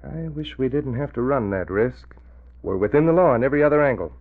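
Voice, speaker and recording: A man's voice: mid-range voice, expressive, brisk, natural voice. Man voicing a nervous accomplice, deferential, middle voice, phone-thin old radio sound. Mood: uneasy. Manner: uneasy, deferential. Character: nervous male accomplice in a swindle. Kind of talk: 1940s radio acting. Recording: tinny old radio broadcast.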